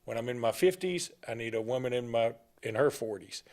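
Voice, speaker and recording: A man's voice: mid-range voice, expressive, a little fast, darkish tone. Rambling opinionated man. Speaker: sports talk show co-host. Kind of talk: casual sports talk.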